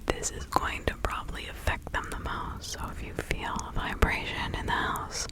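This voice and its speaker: A woman's voice: moderate pace, close to an everyday voice. Woman playing a whispering, fussy, kindly witch healer. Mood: hushed, instructive. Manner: soothing, rambling, muttering asides. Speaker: ASMR roleplay performer. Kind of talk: whispered ASMR roleplay.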